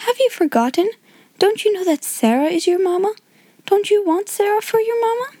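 A woman voicing a kind, self-controlled young schoolgirl in a storybook, steady and earnest. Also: sits high, normal speed, dry recording, voice pushed up. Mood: cheerful coaxing. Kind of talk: storybook character voice in audiobook.

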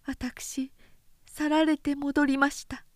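A meek young samurai woman , acted by a female narrator, in a period storybook. Her voice is raised high and kept soft, formal and demure, with quiet determination. The sound is dry. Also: flat delivery, slow, dry recording. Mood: meek, subdued.

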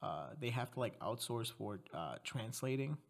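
A man's voice: mid-range voice, lively intonation, moderate pace, vocal fry. A man from Mexico hosting a podcast interview, talking in a loose, rambling way, correcting himself and laughing often. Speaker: podcast host. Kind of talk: casual interview chat.

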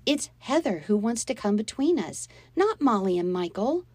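An upset storybook mother, voiced by a woman narrator. She speaks in a raised, high pitch, indignant and pleading as she stands up for her own. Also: sing-song, measured pace, warm tone, no echo. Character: upset, protective mother defending her children.

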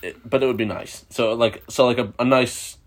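A young American man in casual chat, talking in a halting, rambling way with some swearing. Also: mid-range voice, steady tone. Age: young adult.

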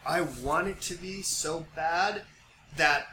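An American man co-hosting a casual podcast, joking informally and excitably, picked up from a few feet away. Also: on the high side, slow. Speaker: podcast co-host. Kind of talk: casual conversational podcast chat. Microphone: picked up by another's mic about five feet away. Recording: distant, roomy pickup with background noise.

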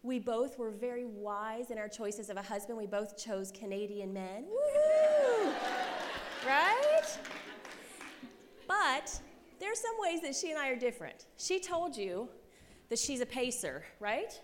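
A woman's voice: a little high, big pitch swings, unhurried. Chatty American woman in a reverberant hall. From United States. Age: 40s. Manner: chatty and self-deprecating, frequent "right?" and "okay?" asides, laughing. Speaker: conference speaker, pastor's wife. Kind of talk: humorous conference sermon. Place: conference hall with a live audience. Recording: reverberant hall, faint room noise.

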